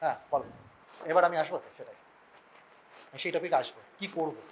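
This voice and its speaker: A male medical lecturer with a Bengali Indian accent and a notably high voice. He speaks quickly in an informal classroom style, posing questions, answering them himself and checking that everyone follows. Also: a little hiss or hum, low-quality recording. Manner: explains through questions and answers, repeatedly checking understanding.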